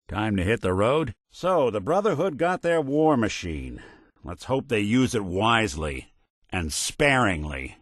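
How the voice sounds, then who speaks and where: An actor voicing a weary, wry, kind-hearted synthetic detective as a companion in a video game, in a hard-boiled style. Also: male voice, on the low side, a bit bright, dry recording, full character voice. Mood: warm, casual. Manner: wry, world-weary, dry-witted.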